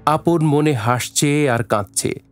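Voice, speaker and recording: A man's voice: fairly high pitch, slow. Male story narrator over faint music. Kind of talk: scripted dramatic story narration.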